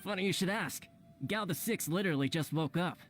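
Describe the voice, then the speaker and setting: A concerned villager looking after the sick in a video game. He speaks plainly and gently at an ordinary pitch. Soft music is heard behind him. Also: brisk. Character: concerned, matter-of-fact villager tending the sick.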